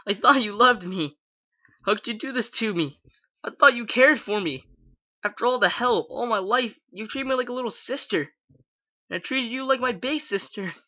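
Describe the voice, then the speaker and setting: A reader voicing a young filly pegasus who pleads and shouts through tears in a fan fiction reading. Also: medium pitch, animated, moderate pace, gravelly, few pauses, husky, dry recording, highs cut off, heavily acted. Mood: anguished pleading.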